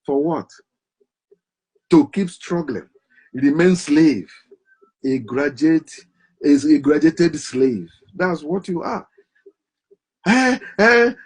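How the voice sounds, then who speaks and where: A man giving a forceful, preaching monologue full of rhetorical questions, his loudness rising and falling sharply. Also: fairly high pitch, husky. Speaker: commentator.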